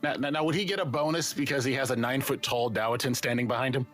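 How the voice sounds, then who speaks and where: A man's voice: mid-range voice, steady tone, quick pace, fairly bright tone, faint music behind. A man playing a tabletop game, talking casually and jokingly as he describes his moves.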